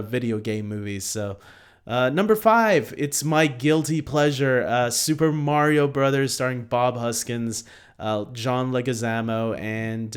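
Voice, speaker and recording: A man with a mid-pitched voice presents a casual ranking to viewers. He speaks unscripted, stopping and starting as he rambles along. He is self-deprecating and informal throughout. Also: clear, resonant tone. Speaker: host of a film ranking video.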